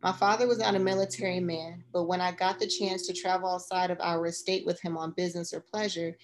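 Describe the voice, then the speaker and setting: A woman narrating a memoir as an audiobook, reading in a measured, even way. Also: lowish voice.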